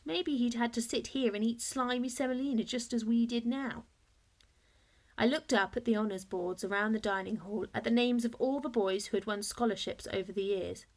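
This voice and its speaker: A woman reading a children's story aloud in expressive narration, like a teacher to a class. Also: a little high.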